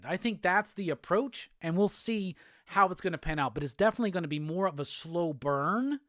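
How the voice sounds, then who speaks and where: A man from Alabama with a Southern accent, hosting a casual live show and answering chat comments in a relaxed, rambling way. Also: high voice, no room sound, studio quality. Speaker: host.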